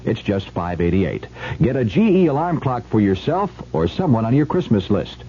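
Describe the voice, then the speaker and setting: A man's voice: on the low side, very animated, normal speed, warm tone. A man reading a scripted 1970s radio advert as a commercial announcer. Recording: muffled, hissy old radio recording.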